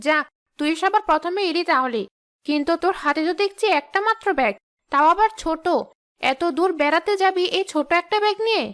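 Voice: extremely high pitch, animated, brisk, a bit bright. A performer voicing a bossy female ghost teacher in a children's cartoon, scolding and sarcastic. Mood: wry.

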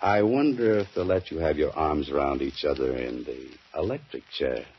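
A deep-voiced wisecracking sleuth. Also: male voice, lively intonation, moderate pace, loud music behind the voice. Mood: wry. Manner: dry, wisecracking. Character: wisecracking cool male mystery writer sleuth. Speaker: mystery writer turned amateur sleuth. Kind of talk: 1940s radio drama acting. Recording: muffled 1940s radio broadcast.

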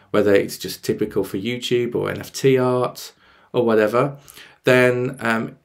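A man in his thirties with a slightly croaky voice, presenting a casual on-screen tutorial and thinking aloud as he goes. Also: on the low side. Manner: explanatory, thinking aloud as he clicks. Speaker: presenter of music tech tutorials. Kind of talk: casual screen-share walkthrough.

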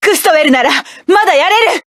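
Proud hardened mech pilot woman, high voice, clipped barks, dry studio sound. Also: lively intonation, quick pace, no room sound. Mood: battle-ready. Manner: sharp, self-assured, clipped combat barks. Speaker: game character. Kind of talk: game battle voice lines.

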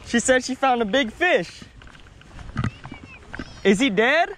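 A man casually vlogging outdoors as he fishes, talking in an excitable, slangy way. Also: very high-pitched, slow pace. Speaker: fishing vlogger. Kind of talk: casual outdoor vlog chat. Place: outdoors beside a pond. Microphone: body-worn action camera. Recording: noisy outdoor action camera audio.

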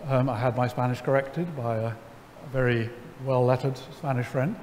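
A middle-aged man, seated on stage, telling a story to an audience in a wry, animated way, full of ums and restarts. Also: medium pitch, normal speed, a little hiss or hum. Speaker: lecture guest. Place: large church sanctuary before an audience. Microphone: headset mic. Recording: hall reverb, faint ambience.

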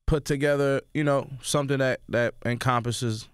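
A young man with a New York accent, originally from Sudan, talking casually as an interview guest in a radio studio. He speaks in a relaxed way and repeats words as he thinks. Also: medium pitch, moderate pace, smooth, dry recording. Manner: laid-back, repeats words while thinking. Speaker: rapper guest. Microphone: studio mic.

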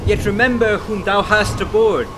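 A man's voice: high voice, normal speed, natural voice. A man playing a patient, loyal old counselor with dry wit in a Shakespeare radio play. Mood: earnest. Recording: faint storm noise behind.

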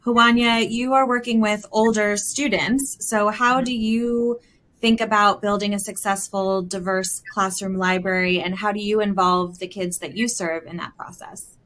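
A woman's voice: mid-range voice, steady tone, unhurried, a bit bright. A woman moderating a panel on a video call from a room at home, asking questions and giving brief affirmations. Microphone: webcam on video call.

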